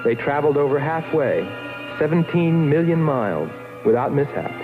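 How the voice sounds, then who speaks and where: A man playing a haunted astronaut who narrates his voyage in a brooding, deliberate, dramatic 1960s film style. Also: on the high side, lively intonation, close to an everyday voice. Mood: sober recounting. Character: haunted male astronaut recounting his voyage, wistful and obsessed. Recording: tinny old film soundtrack under loud music.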